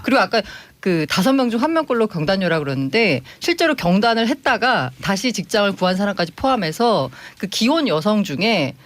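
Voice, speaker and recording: Woman explaining with lively, swooping pitch. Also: fairly quick, few pauses, dry recording. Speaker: news reporter on a panel. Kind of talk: explanatory panel talk.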